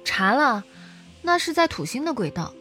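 Lively, high-voiced female researcher played by a woman. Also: moderate pace, soft background music, heavily acted. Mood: matter-of-fact, musing. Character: Irene, lively practical female research colleague. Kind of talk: audio drama dialogue.

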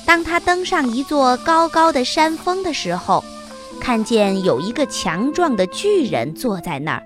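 A woman narrating a scripted fairy tale for children as the host, with expressive, deliberate storytelling. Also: high voice, over music.

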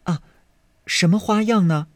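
A man's voice: sits high, measured pace, no echo, voice pushed up. A man voicing a playful sixteen-year-old maid girl in a lilting, coy voice, in a dry, close recording. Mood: sweet, coy.

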